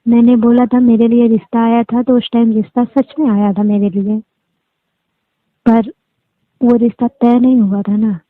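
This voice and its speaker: A woman's voice: a little high, level tone, moderate pace, no echo. A woman chatting casually and privately as a caller on a phone call. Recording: dry, narrow tinny phone line.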